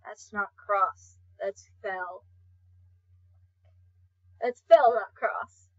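A young woman rambling casually as an amateur comic dubber, excitable and self-deprecating. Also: medium pitch, drawn-out words. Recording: dull lo-fi home recording.

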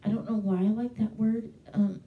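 A woman's voice: medium pitch, steady tone, normal speed, narrow, tinny audio. A young woman vlogging casually to camera from her bedroom, chatty and enthusiastic, with frequent fillers. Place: small bedroom at home. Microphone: handheld mic held close, webcam. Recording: roomy echo, from a distance.

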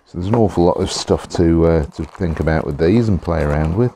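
A middle-aged man, slightly hoarse, chatting casually as he unboxes pens at his desk, rambling with many pauses and restarts. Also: sits low, mellow, a little hiss or hum. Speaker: pen collector vlogger. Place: indoors at a desk, overhead camera on hands.

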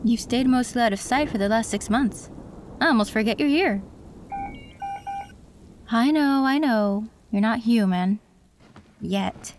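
A woman voicing a female cyberpunk tech who works on an android, calm and matter-of-fact, in an audio roleplay. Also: a little high, measured pace, long pauses, slight background noise, lightly acted.

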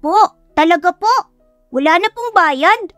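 An eager little schoolchild for a romance audiobook. The voice is very high, shrill and thin, chirping with excitement. The sound is dry, with music behind. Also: female voice, measured pace, no echo, heavily acted. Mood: polite, surprised.

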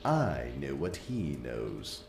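A man voicing a sinister demonic old stallion in a dramatic animated comic, with slow, smug, theatrical menace. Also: a bass voice, creaky, a little hiss or hum, full character voice. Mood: smug, menacing. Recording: echoey, music underneath.

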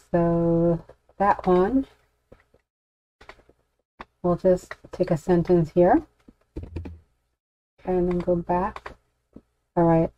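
A woman giving an unscripted software walkthrough as a tutorial presenter, informally thinking aloud with some hesitation. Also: fairly low pitch, a little hiss or hum. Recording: dull, lo-fi, dry close recording.

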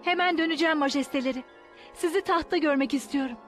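A woman's voice: high voice, quick pace, loud music behind the voice, lightly acted. A woman playing a proud, earnest sorceress in a dubbed cartoon, with dramatic, emphatic delivery. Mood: earnest, hopeful.

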